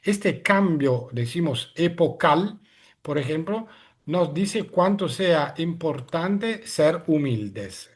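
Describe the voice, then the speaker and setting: Middle-aged guy, giving a talk on a video call, kinda high voice, stops to find words a lot. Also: animated, slow, breathy edge. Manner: hesitant, searching for words in a second language. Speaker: guest speaker. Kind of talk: webinar lecture.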